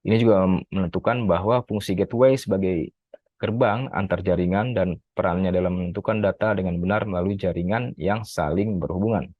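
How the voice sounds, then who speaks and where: Deep-voiced man reading a summary. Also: no echo, narrow, tinny audio. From Indonesia. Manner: steady reading with occasional self-corrections. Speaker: graduate student presenting an article review. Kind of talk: reading a prepared academic summary.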